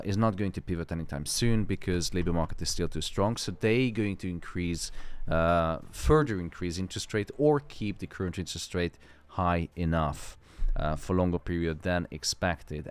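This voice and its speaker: A man's voice: low-pitched, moderate pace, few pauses, smooth. A man hosting a live trading stream, chatting casually, swearing freely and explaining the market at length.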